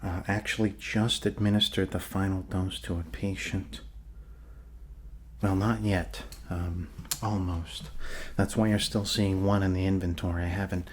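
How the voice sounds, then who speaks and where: Low-pitched, unhurried, rambling doctor played by a man. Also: little pitch movement, slight background noise. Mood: businesslike. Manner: rambling, hesitant, joking asides, reassuring. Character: friendly rambling male doctor treating a zombie bite. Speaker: ASMR roleplay performer. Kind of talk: ASMR roleplay, improvised soft bedside talk.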